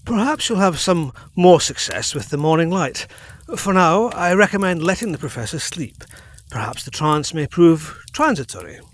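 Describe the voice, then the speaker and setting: An edwardian doctor, frank n formal, highish voice that swoops about, gothic audio play. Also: male voice, very animated. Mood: grave, assured. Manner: formal, emphatic, deliberate diction. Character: earnest Edwardian physician, frank and authoritative. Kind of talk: gothic audio drama acting.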